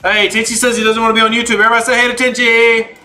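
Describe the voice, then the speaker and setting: High, bright-voiced man chatting on a livestream. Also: little pitch movement, very fast, soft background music. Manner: chatty, addresses viewers by name, informal. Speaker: game livestream host. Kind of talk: casual livestream chat while gaming, answering viewers.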